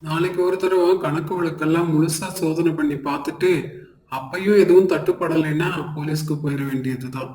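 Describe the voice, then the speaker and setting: An actor playing a stern, worried family shopkeeper in a cartoon moral story, with a firm, earnest manner. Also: male voice, a little high, steady tone, a little fast, a little mellow, reverberant, natural voice. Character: men of a traditional family shop, stern, worried.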